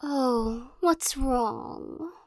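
A young woman voicing a possessive, teasing girl who corners the listener in an audio roleplay, giggling and coaxing, often dropping into soft whispers. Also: high voice, very slow, no room sound, studio quality, exaggerated voice.

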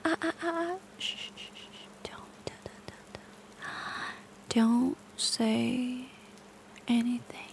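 A woman voicing an obsessive young female stalker who whispers breathily and swings between cooing and yelling in an audio roleplay. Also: fairly high pitch, vocal fry, breathy edge, heavily acted. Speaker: voice actor.

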